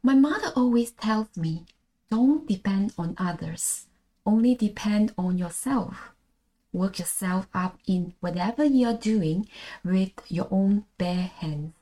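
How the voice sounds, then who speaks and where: Malaysian-accented woman, mellow voice. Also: mid-range voice, expressive, slow pace, low-quality recording. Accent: Malaysian English. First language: Bahasa Malaysia. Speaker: podcast host. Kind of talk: scripted solo podcast monologue.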